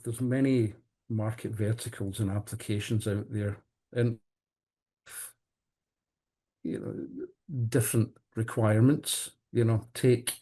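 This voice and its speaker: Mellow-voiced man, halting interview answers. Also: mid-range voice. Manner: halting, hesitant, repeats word beginnings. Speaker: company executive being interviewed. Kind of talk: interview answers on professional audio products.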